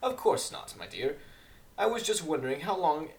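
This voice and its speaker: A courteous, reserved gentleman stallion, played by a man, slightly formal in his wording. His intonation rises and falls freely in a fan storybook reading. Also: on the high side, animated, moderate pace. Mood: fond. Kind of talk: amateur dramatic fan fiction reading.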